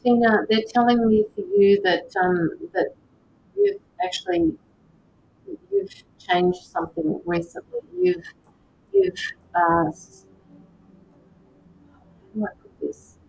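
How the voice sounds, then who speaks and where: A woman doing live tarot and mediumship readings, speaking gently to viewers by name with frequent hesitations. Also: sing-song, slow, creaky, long pauses. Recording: slightly dull lo-fi sound with faint rumble.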